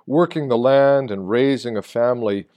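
A man with a medium-pitched voice reads a written meditation aloud. His delivery is measured and literary, like a storyteller's. He leaves deliberate pauses between phrases. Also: muffled recording. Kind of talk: read-aloud literary sermon.

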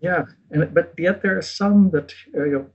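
Husky older man telling his story. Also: a little high, normal speed. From United States. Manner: relaxed, self-deprecating, chuckles at himself. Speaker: guest. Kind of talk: casual interview storytelling. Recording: dull lo-fi recording.

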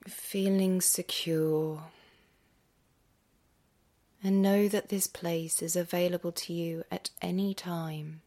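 A woman leads a guided meditation in a lowish, breathy voice that often drops close to a whisper. Her delivery is very slow and gentle, with long pauses. There is a noticeable creak in her soft, soothing tone. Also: level tone. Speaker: yoga nidra meditation guide.

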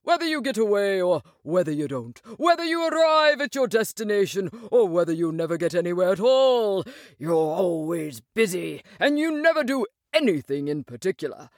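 A woman's voice: mid-range voice, sing-song, normal speed, darkish tone, no echo, clean studio sound, natural voice. A woman voicing a cheery, easygoing male water rat in a storybook, with a hearty, breezy and dreamy manner. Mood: carefree, breezy. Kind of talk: animated storybook character reading.

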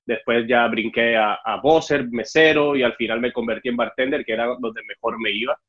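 A man's voice: medium pitch, steady tone, moderate pace, husky. Cuban-born man with a Mexican accent. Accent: Mexican Spanish. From Cuba. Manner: storytelling, acts out dialogue with raised voice. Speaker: interview guest. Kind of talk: casual interview chat. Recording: dull muffled audio.

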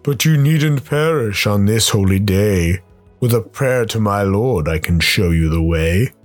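A man's voice: on the low side, lively intonation, measured pace, no room sound, faint music behind. A man voicing a bloated old plague creature, preaching corruption in unctuous rhyme for a radio play. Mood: unctuous menace.

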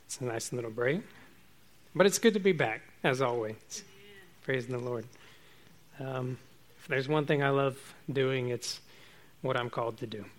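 A man preaching a relaxed, informal sermon to his congregation, chatty and self-joking. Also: medium pitch, normal speed, long pauses.

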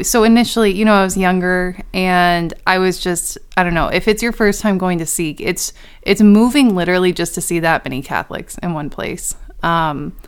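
Chatty young woman with a smooth voice. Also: lowish voice. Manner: chatty and animated, laughs often. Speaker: podcast co-host. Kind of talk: casual chat.

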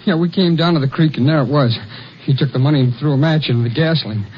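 An actor playing a terrified young logging hand in a 1950s western radio drama, pleading and stammering in panic. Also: male voice, a little high, fast talker, close to an everyday voice. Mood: frightened. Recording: dull, faintly noisy old radio recording.

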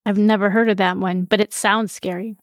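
A woman hosting a faith podcast, narrating an introduction and then asking friendly, measured interview questions. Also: mid-range voice, steady tone, no room sound, studio quality.

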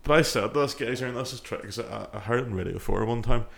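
A man's voice: mid-range voice, quick pace, vocal fry, a bit bright. A man co-hosting a podcast in casual chat, rambling and musing aloud as he trails off. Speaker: podcast co-host. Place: podcast studio.

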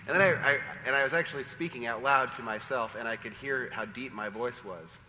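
A man preaching a sermon as pastor, hoarse from a sore throat, with an informal, self-deprecating manner. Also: medium pitch, a little fast, sharp tone, a little hiss or hum. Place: church sanctuary. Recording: reverberant church hall, faint ambience.

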